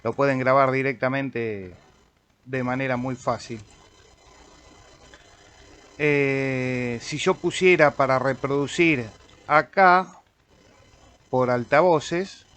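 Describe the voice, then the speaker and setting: A man with an Argentine accent walks through a piece of software in an unscripted way. His voice sits at a medium male pitch, and he thinks aloud as he tries things out. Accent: Argentine Spanish. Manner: informal, thinking aloud while clicking through. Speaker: amateur radio operator demonstrating software. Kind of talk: casual screen-recorded software tutorial.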